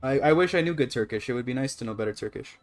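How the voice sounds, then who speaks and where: Male game streamer, Canadian accent, average pitch, loose rambling apologetic delivery, music underneath. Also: lively intonation, racing. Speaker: live streamer. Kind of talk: casual gaming livestream chat.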